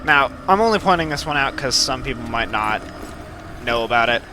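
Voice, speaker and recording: Let's play host: man, mid-pitched, chatty and informal, rambling playfully, clear game gunfire behind him. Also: expressive, brisk, noisy background. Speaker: gaming video host. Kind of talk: casual let's play commentary. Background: game gunfire and effects.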